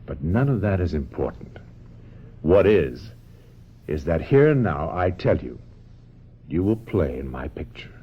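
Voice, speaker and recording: An actor in a radio drama playing a suave, domineering film director who persuades with repetitions and little tag questions. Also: male voice, deep voice, very animated, a little slow, long pauses, slightly rough, heavily acted. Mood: persuasive, passionate. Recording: dull, noisy old radio recording.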